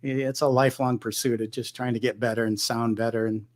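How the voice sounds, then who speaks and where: A man's voice: medium pitch, fairly even intonation, fast. A middle-aged man from Michigan, a guitarist guest in an interview, talking in a loose, rambling way with many restarts. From Michigan, United States. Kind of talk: relaxed conversational interview.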